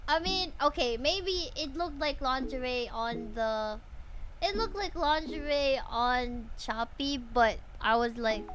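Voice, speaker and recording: A woman's voice: high voice, slow, crowd noise. A young woman from the Philippines, streaming live and chatting casually with her viewers in a bubbly, giggly way.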